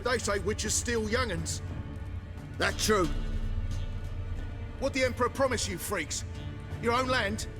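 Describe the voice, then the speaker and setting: An actor playing a belligerent, hostile village thug in a fantasy game, sneering and taunting. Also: male voice, high voice, takes it slowly, long pauses, over music. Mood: menacing, taunting. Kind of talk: cinematic fantasy game acting.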